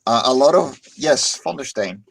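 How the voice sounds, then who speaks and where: A man's voice: medium pitch, expressive, normal speed, vocal fry. A Dutch man hosting a casual livestream chat, upbeat and joking, often repeating words as he starts a sentence. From Netherlands. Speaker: stream host.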